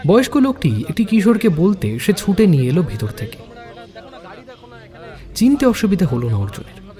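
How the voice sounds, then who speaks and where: A man's voice: high voice, wildly swooping pitch, moderate pace, dark tone, in a large hall. A man hosting and narrating an audio story in a scripted storytelling style. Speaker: story narrator and channel host.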